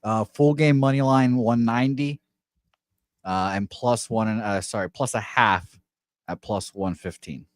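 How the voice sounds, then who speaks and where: A man for whom English is a second language talks through his betting picks in a lower voice. He is casual and corrects himself as he goes. The mic sounds dry and close. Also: fairly even intonation, a little slow, no echo. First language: not English. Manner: casual, self-correcting, lots of uh. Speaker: betting analyst. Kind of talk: sports betting radio talk.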